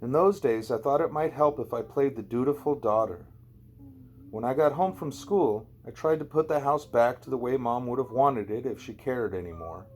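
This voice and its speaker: A man's voice: mid-range voice, moderate pace, a little hiss or hum. A man narrating a first-person novel as an amateur audiobook reader, in a conversational, wry storytelling way.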